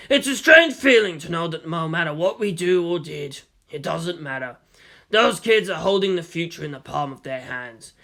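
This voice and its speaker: Picture a man playing a gunsmith in his seventies from an audio story. His voice crackles with gravel and carries a hard, forceful edge at a slightly raised pitch. He speaks bluntly and matter-of-factly, with a world-weary temper. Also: full character voice. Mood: weary, solemn.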